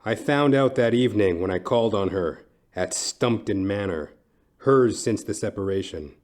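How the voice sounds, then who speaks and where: A man as a low, deadpan private eye. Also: close to an everyday voice. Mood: world-weary. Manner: deadpan, measured, dramatic pauses. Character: down-at-heel, wry male hardboiled private eye narrator. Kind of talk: scripted noir detective narration, comic.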